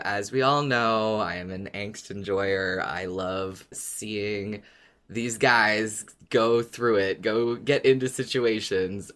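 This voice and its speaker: A man's voice: lowish voice, fairly bright tone. A man co-hosting a podcast in casual discussion, speaking thoughtfully and circling back over his words. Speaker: podcast co-host.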